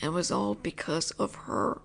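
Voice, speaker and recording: Slow, soft-spoken young woman. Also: creaky, a little hiss or hum. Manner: soft, low and lingering, slipping into whispers. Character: possessive, teasing young woman roommate. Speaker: voice actor in an audio roleplay. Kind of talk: intimate audio roleplay, speaking to a listener.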